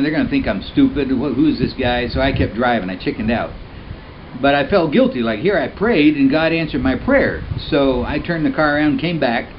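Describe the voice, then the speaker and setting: A man from Vietnam tells his own story in an earnest, unhurried way, pausing often with small fillers. His voice sits at an ordinary middle pitch. The home video sound is a little dull, with a faint hiss behind it. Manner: earnest, plain-spoken, with frequent "uh" pauses. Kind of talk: personal testimony told to camera.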